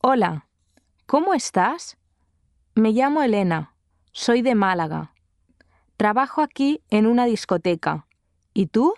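A cheerful, lively young woman. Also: fairly high pitch, unhurried, pauses often, dry recording, studio quality. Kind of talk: slow scripted dialogue for learners.

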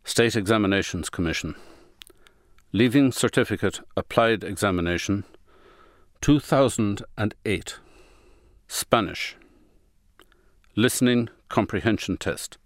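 A man reading scripted exam instructions in a formal, measured way. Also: on the low side, dry recording, clean studio sound. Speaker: exam announcer.

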